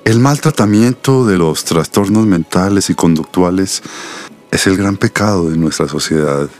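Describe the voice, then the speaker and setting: A male actor plays a middle-aged Colombian doctor, a protective father with a very deep voice. He speaks in a measured, confiding and serious way, as in a radio soap, over faint music. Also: darkish tone, natural voice. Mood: earnest, explanatory. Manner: measured, solemn, articulate. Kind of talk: radio soap opera acting.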